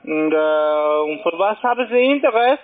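A man with a foreign accent, not a native German speaker, making a halting sales pitch by phone. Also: lively intonation. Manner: halting, broken phrasing. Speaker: cold-calling telemarketer. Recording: tinny phone line, busy call center chatter.

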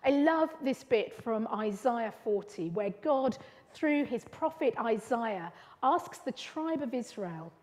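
A woman's voice: a little high, lively intonation. Woman preaching in a reverberant church. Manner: earnest, gentle teaching delivery with measured pauses. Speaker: preacher leading a church service. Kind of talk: sermon. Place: church hall.

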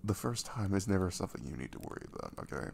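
Casting: male actor as tender, soothing young boyfriend for ASMR audio, gravelly low-end voice, faint background noise. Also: sing-song, very fast, exaggerated voice. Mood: amused, reassuring. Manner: hesitant, gentle, soothing, with soft chuckles. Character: caring, reassuring young boyfriend comforting his nervous girlfriend.

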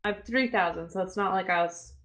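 A woman's voice: on the low side, a little fast, crowd noise. A young woman chatting casually as she plays a game, playful and slangy. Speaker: gamer, co-player. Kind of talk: casual gameplay chat. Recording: game gunfire behind. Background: war game gunfire.

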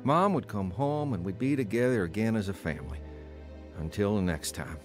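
A man's voice: on the low side, expressive, normal speed, over music, lightly acted. An actor playing a troubled man who earnestly narrates his own unhappy youth in an audio drama. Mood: somber reflective. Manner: earnest, confessional.

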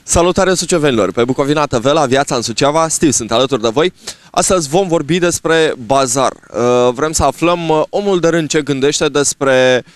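A man in his thirties hosting a local TV street report into a handheld microphone, pausing often on drawn-out fillers. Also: a little high, dry recording, soft background music. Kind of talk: unscripted piece to camera. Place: outdoors on a snowy town street. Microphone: handheld microphone held at chest.

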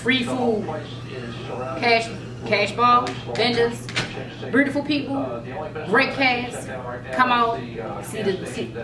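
A woman's voice: a little high, fairly even intonation, slow pace, long pauses. A woman giving an event promo indoors to a camera some way off. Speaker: playwright, producer. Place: indoors against a plain wall. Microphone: far camera. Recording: distant, echoey, background chatter.

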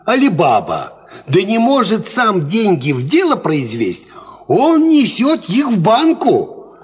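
An actor playing a worldly, cocksure peasant farmhand, explaining things in folksy fashion in a 1950s Soviet radio play. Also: male voice, high-pitched, slow pace, slightly rough, heavily acted. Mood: knowing. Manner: folksy, animated, instructive.

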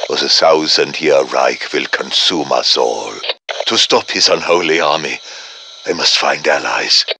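An actor playing a grave, commanding professor of the occult in a video game, speaking in an urgent, formal, theatrical manner. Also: male voice, fairly low pitch, sharp tone, a little hiss or hum, heavily acted. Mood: grave, ominous. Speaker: mission-giving game character. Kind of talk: dramatic video game voice acting.

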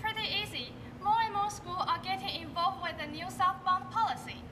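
A young woman in a student stage skit, reciting sales-pitch lines. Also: fairly quick. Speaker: student performer. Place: large school auditorium stage. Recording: distant, noisy hall recording.

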